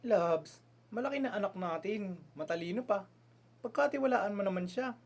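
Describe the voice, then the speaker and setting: Woman playing a calm, soothing father, medium pitch. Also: moderate pace. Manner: soothing, placating. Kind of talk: amateur radio drama acting.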